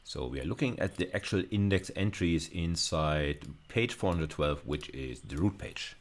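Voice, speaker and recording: Male lecturer, rough voice, very low and lively pitch, halting talk. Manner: thinking aloud, frequent fillers and restarts. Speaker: university lecturer. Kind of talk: recorded video lecture with screen demo.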